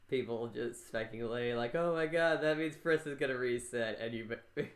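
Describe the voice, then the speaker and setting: A man's voice: medium pitch, a little slow, echoey room. A man hosting a casual interview podcast, rambling and often stumbling over repeated words. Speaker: podcast host interviewing a creator.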